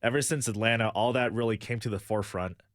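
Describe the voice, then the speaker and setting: A man's voice: on the low side, fast talker, no echo, studio quality. A young man from the United States, a guest on a radio talk show, answering earnestly and thinking aloud with many fillers. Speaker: guest, music booker.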